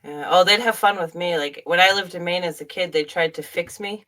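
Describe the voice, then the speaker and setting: A woman's voice: lowish voice, brisk, pushed and bright. Woman with a mixed New England accent. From Massachusetts. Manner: chatty, laughing. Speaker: podcast guest. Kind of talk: casual chat.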